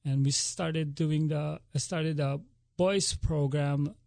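A man in his forties, originally from the Philippines, talks as a ballet director being interviewed. His voice sits slightly high, and the room sounds dry and close. He speaks earnestly and often restarts his sentences. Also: fairly even intonation, takes it slowly, gravelly, darkish tone, dry recording. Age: 40s. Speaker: ballet school artistic director. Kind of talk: interview guest.

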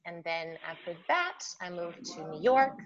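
A woman's voice: lowish voice, big pitch swings, a little slow, a crowd in the background. A woman from Norway, a pianist guest, chatting casually about her life with lots of fillers. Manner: chatty, warm storytelling with frequent fillers. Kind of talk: casual interview chat. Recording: muffled remote line with faint hiss.

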